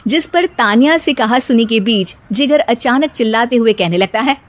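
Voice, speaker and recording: Lively woman cartoon narrator. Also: on the high side, moderate pace. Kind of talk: scripted storytelling narration.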